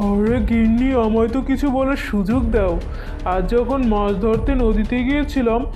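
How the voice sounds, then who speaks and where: A woman's voice: on the high side, moderate pace, loud music behind the voice, natural voice. A woman voicing a mild, good-natured husband bird in a children's cartoon, with an earnest, placating manner.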